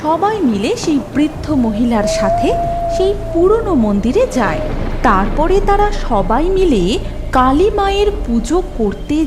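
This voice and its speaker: A woman's voice: high-pitched, big pitch swings, measured pace. A woman narrating a scripted horror cartoon story in a dramatic style. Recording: loud background music.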